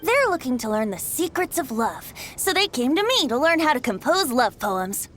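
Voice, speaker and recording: A woman's voice: high voice, very animated, normal speed, fairly bright tone, soft background music. A woman voicing a cheeky, boyish bard in a video game, theatrical, flowery and playfully smug. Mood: breezy, persuasive.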